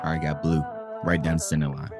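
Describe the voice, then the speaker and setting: Man playing a low-voiced, cocky, wary guy. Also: level tone, a little fast, over loud music. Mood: defensive. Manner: slangy, boastful, wary. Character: guarded, cocky, skeptical single guy playing pool. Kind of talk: casual conversational audio drama acting.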